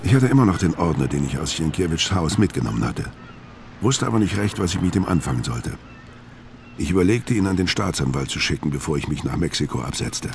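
A man's voice: low voice, animated, brisk, gravelly, slight background noise. An actor playing a brooding, hard-drinking fugitive killer who narrates his story tersely in a crime radio play. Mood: brooding, matter-of-fact.

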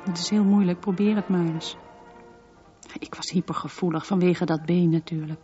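A woman's voice: fairly low pitch, fairly even intonation, normal speed, dark tone, faint music behind, close to an everyday voice. An actress playing a haunted mother confiding memories of her dangerous son in a radio drama monologue. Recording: muffled radio play recording, slight noise.

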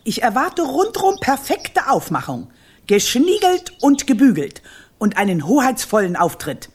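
Radio play role: bossy old witch queen grandmother, husky, lively swings in pitch, curt and commanding. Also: unhurried, full character voice. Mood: brisk, formal. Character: stern, domineering old witch grandmother ruling a kingdom. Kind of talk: German children's radio play acting.

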